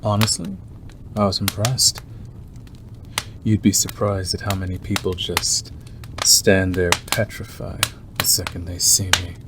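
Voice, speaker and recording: Very deep, slow-talking predatory werewolf acted by a man. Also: very noisy. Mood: menacing reminiscence. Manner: slow, deliberate, teasing, with drawn-out pauses. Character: predatory, obsessive male werewolf, smooth and menacing stranger. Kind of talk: ASMR roleplay monologue.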